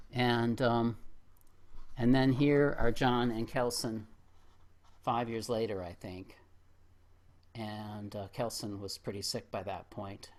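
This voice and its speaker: A middle-aged or older man speaking at a poetry open mic in a reflective, expressive way. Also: on the low side. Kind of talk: spoken poetry reading with informal remarks to an audience.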